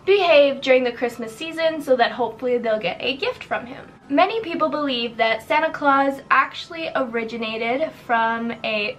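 Young woman, channel host, casual chatty, slightly high voice, light background hiss. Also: a bit bright. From Canada. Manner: chatty, upbeat, lots of "like" fillers. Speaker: YouTube host. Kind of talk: casual storytelling while doing makeup. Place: decorated bedroom.

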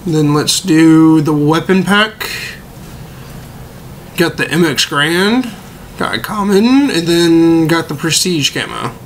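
A young man with a slightly hoarse voice, as if he is losing it, giving laid-back gameplay commentary with a mildly let-down tone. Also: a little high, lively intonation, slow pace, slight background noise. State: losing his voice. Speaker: gaming video creator.

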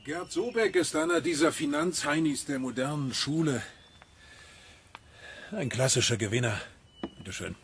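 An actor playing a defensive, smooth-mannered male finance colleague in a radio crime drama. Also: on the high side, moderate pace, pauses often, slight background noise, natural voice. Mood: affable, evasive.

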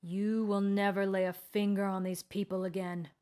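A woman's voice: medium pitch, little pitch movement, moderate pace, a little mellow, lightly acted. Woman as a stern, commanding old wandering mage. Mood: defiant. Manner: solemn, commanding. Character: stern old male wandering mage, righteous, iron-willed.

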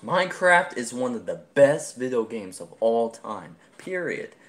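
A young man practises voice acting live on his phone. His talk is slow and halting, often breaking off and restarting, with a very lively pitch. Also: slight background noise. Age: young adult. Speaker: amateur voice actor. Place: small room indoors. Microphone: phone at arm's length.

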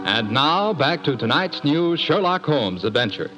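A man's voice: a little high, big pitch swings, unhurried, sharp tone, soft background music. A man announcing on the radio, reading an upbeat, salesy ad and scripted narration. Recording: dull lo-fi 1940s radio broadcast.

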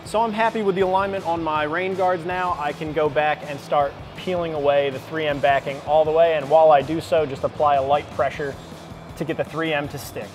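Fast-talking man explaining an install. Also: on the high side, soft background music. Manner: steady step-by-step instruction. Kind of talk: how-to install walkthrough. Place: bright indoor garage studio.

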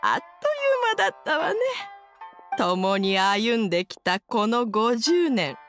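A woman playing a gentle, contented old wife in a video game, with an unhurried grandmotherly manner. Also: a little high, very animated. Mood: fond, nostalgic. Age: older. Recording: faint music behind the voice.

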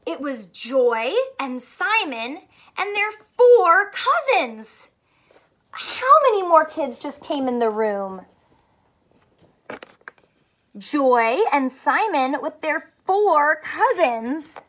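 A young woman teacher doing a read-aloud math lesson for children, animated and full of questions, speaking clearly for kids. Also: high voice, takes it slowly. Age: young adult. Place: small furnished room at home, indoors.

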